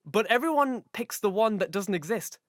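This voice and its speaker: An Irish man co-hosting a podcast in casual, jokey chat, now and then bursting into loud silly voices. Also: high voice, expressive, normal speed, dry recording, studio quality. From Ireland. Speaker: podcast co-host.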